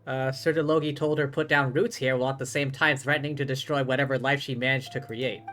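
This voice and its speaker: A streamer reacts to a game's story in a casual, musing way. The voice is mid-pitched and informal. Faint music runs behind it. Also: steady tone, fast talker, fairly bright tone.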